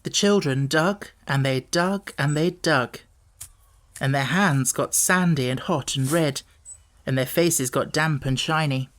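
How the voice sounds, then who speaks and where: A man's voice: fairly high pitch, a little slow, fairly bright tone. A man reading a children's audiobook as scripted storybook narration.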